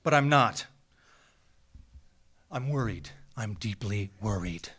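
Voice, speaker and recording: An American man reads a scripted personal story live on stage, with a measured, theatrical delivery and deliberate dramatic pauses. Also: lowish voice, lively intonation, a bit bright, husky. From small town in Minnesota, United States. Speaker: writer reading a personal essay. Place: small theatre, live event.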